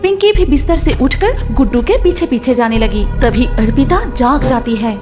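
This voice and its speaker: A woman narrates a children's story in a high voice. Her delivery is scripted storytelling, with music clearly playing underneath. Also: animated, moderate pace.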